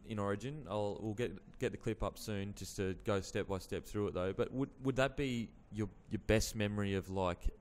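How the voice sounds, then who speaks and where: Young aussie host, kinda low voice, rambly questions, lots of yep. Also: male voice, moderate pace, few pauses, a little mellow. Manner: rambling questions, frequent restarts and "yep" backchannel. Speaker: podcast host. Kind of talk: casual interview questions. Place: small studio with dark curtain backdrop. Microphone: studio mic on boom arm.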